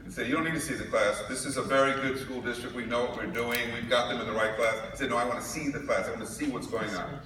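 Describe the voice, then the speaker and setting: A man's voice: medium pitch, fairly even intonation, fast talker, hardly any pauses, sharp tone. A man from New York giving a conference keynote speech, telling stories with humor. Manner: storytelling with humor. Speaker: keynote speaker. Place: large conference hall. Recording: echoey hall recorded from far off, noisy.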